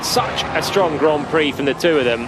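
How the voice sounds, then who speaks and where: A man giving relaxed, analytical co-commentary on a race. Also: a little high, normal speed. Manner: conversational, thoughtful asides. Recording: engine noise in background.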